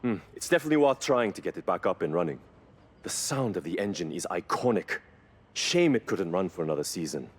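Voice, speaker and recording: Casting: earnest, knowledgeable car mechanic for a video game, raspy, animated intonation, explanatory delivery. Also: male voice, deep voice, brisk, exaggerated voice. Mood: thoughtful. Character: earnest, knowledgeable male car mechanic, reverent about engines. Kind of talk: scripted video game cutscene dialogue.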